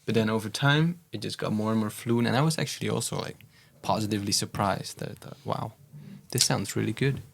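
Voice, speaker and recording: A man talking as a guest in a casual podcast chat, gentle and thoughtful. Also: lowish voice, a little fast, gravelly, hardly any pauses, a little hiss or hum.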